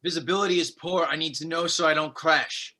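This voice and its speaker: Man acting military pilot, terse, guarded, clipped, sharp bright tone, drama script read. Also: fairly high pitch, fairly even intonation, brisk. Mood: tense. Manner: clipped, evasive.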